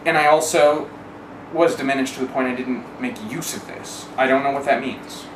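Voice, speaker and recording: A man's voice: medium pitch, steady tone, normal speed. A man giving a candid first-person account in a wry way, casually swearing and acting out remembered exchanges. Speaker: interviewee telling a personal story. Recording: roomy, distant mic, clear background noise.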